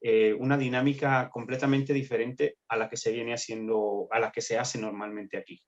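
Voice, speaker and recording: Guatemalan man, panel guest, even mid voice, reflective and emphatic. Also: mid-range voice, normal speed, dull audio. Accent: Guatemalan Spanish. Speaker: panel guest, theater director. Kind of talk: online panel discussion.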